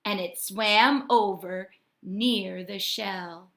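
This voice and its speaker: A woman teacher reading a storybook aloud and talking to her students in an expressive, deliberate way. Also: medium pitch, vocal fry. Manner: expressive, deliberate, addressing children directly.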